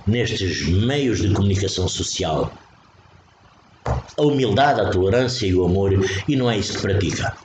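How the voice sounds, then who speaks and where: Portuguese man, spiritual talk, repeats himself for emphasis; animated pitch, faint background noise. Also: moderate pace. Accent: European Portuguese. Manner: repetitive, emphatic, with frequent restarts. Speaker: spiritual preacher. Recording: echoey room with faint noise.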